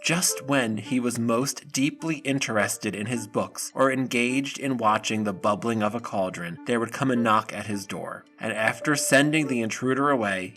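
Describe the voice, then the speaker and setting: A man's voice: lowish voice, fairly bright tone. A man narrating a scripted audio drama in the manner of a storybook reading.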